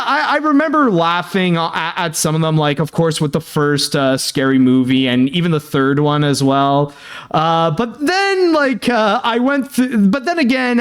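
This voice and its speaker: Young male host, slightly high voice, chatty and halting with lots of fillers, earnest fan-mail reader. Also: clean tone. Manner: halting, filler-heavy, many "uh" and "like"; earnest, thanking and sympathetic. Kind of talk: casual chat reading fan mail.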